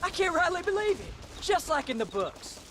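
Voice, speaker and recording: A man's voice: very high voice, very animated, normal speed, background noise. An actor playing an eager, headstrong young American boy in a western video game. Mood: thrilled. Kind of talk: cinematic video game acting.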